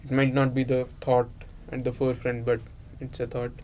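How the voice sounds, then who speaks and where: A man's voice: medium pitch, completely flat delivery, moderate pace, darkish tone, slight background noise. A man thinking aloud in an unscripted, reflective group conversation, with a thoughtful, musing manner. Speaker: discussion participant.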